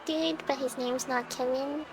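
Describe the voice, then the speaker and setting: A woman's voice: high-pitched, fairly even intonation, a little slow, vocal fry, rough recording. A woman livestreamer chatting casually to viewers as she plays a game, thinking aloud and often asking questions. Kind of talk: casual chat while playing a game for viewers. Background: video game music.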